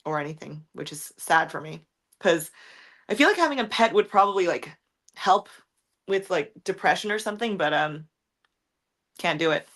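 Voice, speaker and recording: Deep-voiced young woman chatting casually. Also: expressive, normal speed, long pauses, fairly bright tone. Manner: rambling, frank, swears often, many ums and likes. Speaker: content creator answering viewer questions. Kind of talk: casual livestream chat.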